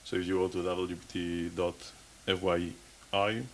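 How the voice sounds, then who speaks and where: Man, 30s, lowish voice, speaking from a podium at a conference, restarting and repeating words as he goes. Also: level tone, moderate pace. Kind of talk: technical conference talk. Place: conference stage.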